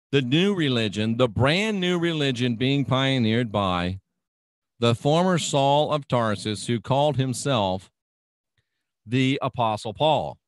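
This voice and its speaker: Man, American accent, radio host, slow deliberate delivery, insistent preachy monologue, dry studio sound. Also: medium pitch, dry recording. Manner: emphatic, insistent, piles up repeated phrases.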